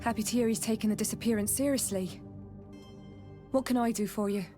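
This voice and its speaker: A woman's voice: fairly high pitch, a little fast, natural voice. An actress playing a plain-spoken, loyal female sailor in a fantasy game, frank and colloquial. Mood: frank, mildly concerned. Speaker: voice actor in a video game. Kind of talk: scripted fantasy game dialogue. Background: music.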